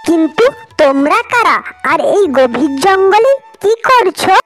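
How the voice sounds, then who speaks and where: A woman's voice: very high-pitched, lively intonation, a little slow, fairly bright tone, soft background music, heavily acted. A woman playing an innocent young girl raised in the forest by a tigress, in a cartoon fairy tale. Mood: innocent, curious.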